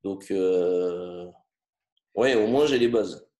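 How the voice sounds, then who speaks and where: A man in his thirties telling his story in a casual interview, pausing often with fillers. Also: low voice, mellow. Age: 30s. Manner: hesitant, many fillers. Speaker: coaching client. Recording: roomy echo.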